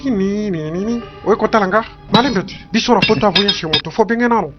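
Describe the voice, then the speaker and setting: A man voicing an excitable, nervous cartoon animal, stammering and exclaiming. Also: high voice, quick pace, lightly acted. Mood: panicked. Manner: exclaiming, stammering, repeats words. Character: Rango, small excitable nervous male animal newcomer. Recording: muffled lo-fi audio, music behind.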